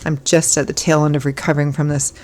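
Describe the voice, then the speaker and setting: A hoarse woman giving a casual tarot reading as host, chatty and reassuring, her voice still froggy from illness. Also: low voice, level tone, fast, a little mellow, a little hiss or hum. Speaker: tarot reader.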